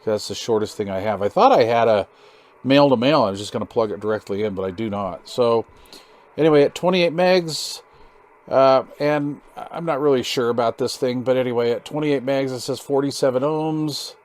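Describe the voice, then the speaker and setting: A man's voice: mid-range voice, moderate pace. Casual, folksy man rambling through a DIY project. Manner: rambling, folksy, informal slang. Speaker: hobbyist host of a DIY radio build video. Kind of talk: casual hands-on tutorial chat.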